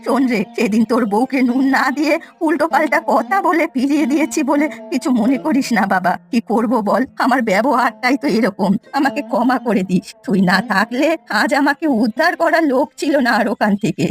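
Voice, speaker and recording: Cartoon granny played by a woman: old, plaintive, repentant village miser, higher pitch, light music underneath. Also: lively intonation, fairly quick, hardly any pauses. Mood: plaintive, sorry. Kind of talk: Bengali cartoon story voice acting.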